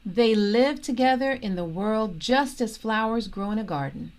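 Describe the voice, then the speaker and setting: A woman reading a children's biography aloud as a storytime host, in deliberate short phrases with expressive delivery. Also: a little high. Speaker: storytime reader and host, organisation president.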